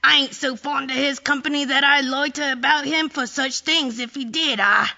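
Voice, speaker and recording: An actress playing a brazen, callous Victorian thieving woman in an audio drama, shrill, defiant and unashamed. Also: fairly even intonation, moderate pace, creaky, bright, forceful tone, muffled recording, heavily acted.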